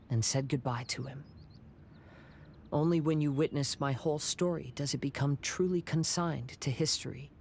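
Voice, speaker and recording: A serene young wandering swordsman from an anime fantasy game. His voice sits at a medium pitch with a noticeable creak. He speaks gently and poetically, like someone deep in reflection. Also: slight background noise. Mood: serene, resolved.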